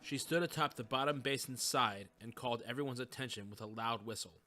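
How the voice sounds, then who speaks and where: A man's voice: mid-range voice, brisk, sharp tone. A man giving scripted narration of a story in the third person.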